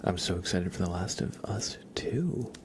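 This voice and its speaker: A man hosting an ASMR livestream, chatting casually and unhurriedly in a soft, gentle murmur that drifts into whispers. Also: sits low, animated, moderate pace. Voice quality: soft, breathy half-whisper. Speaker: livestream host reading chat.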